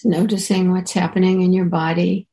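A woman teacher leading a guided meditation in a soft, relaxed way, leaving gentle pauses. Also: lowish voice.

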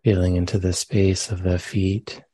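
A man leading a guided meditation as a teacher, speaking gently and unhurriedly with long pauses between phrases. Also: sits low, level tone, slightly rough, no echo. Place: meditation center.

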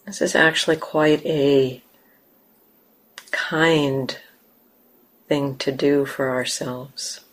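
Woman leading guided meditation, gentle low voice, super slow with big pauses. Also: steady tone, low-quality recording. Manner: gentle, unhurried, with long pauses. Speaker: meditation teacher. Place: meditation center.

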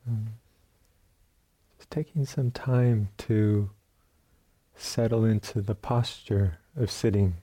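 A man teaching guided meditation in a very soft, dark, relaxed voice. He speaks on the low side and very slowly, leaving long pauses between short phrases. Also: slightly rough.

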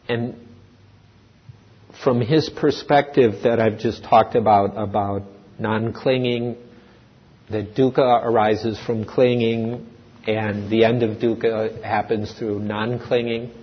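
A man giving an unscripted dharma talk at a meditation center, speaking slowly and thoughtfully, pausing and restarting as he works through ideas. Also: on the low side, fairly even intonation, husky. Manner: slow, thoughtful, with restarts and pauses. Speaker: dharma teacher. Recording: dull lo-fi recording with long hall reverb.

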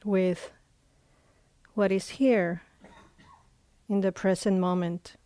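A woman leading a guided meditation as a teacher, speaking in short phrases with long, unhurried pauses. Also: medium pitch, fairly even intonation, mellow, clean tone.

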